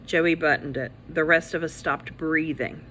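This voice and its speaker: Lady teacher reading a kids book out loud, bright voice, really expressive, bit of noise behind. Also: low-pitched, a little slow. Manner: animated, acts out characters' lines. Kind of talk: expressive children's story read-aloud.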